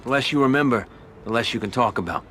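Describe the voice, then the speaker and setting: An actor playing an ambitious, shrewd young mob underboss who talks in an even, controlled, businesslike way in a game cutscene. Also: male voice, mid-range voice, fast talker, slight background noise.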